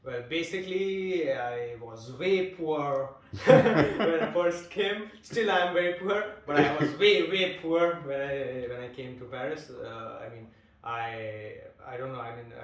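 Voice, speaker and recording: A man in his twenties from India, chatting casually as an interviewee, relaxed and hesitant with lots of fillers and restarts. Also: medium pitch, recorded from a distance. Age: 20s. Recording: echoey room, voice off-mic.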